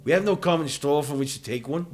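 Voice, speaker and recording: A man's voice: on the high side, quick pace, close to an everyday voice. A man playing a proud, defiant warrior hero in a dramatized epic audiobook, declaiming with bold indignation over music. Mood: indignant. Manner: declamatory, forceful oratory.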